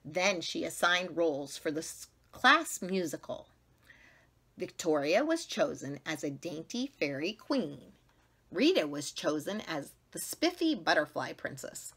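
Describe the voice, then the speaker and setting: A middle-aged American woman narrates a picture book for children. Her delivery is slow and emphatic, with dramatic stress on key words. The voice is bright and swings widely in pitch. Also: very animated, slightly rough. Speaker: storybook reader for children. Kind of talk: expressive read-aloud narration. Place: small classroom-like room, indoors.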